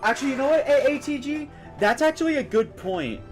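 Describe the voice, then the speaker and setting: A man's voice: extremely high pitch, lively intonation, brisk, soft background music. A man giving casual, opinionated, rambling commentary on a live stream while he plays a video game.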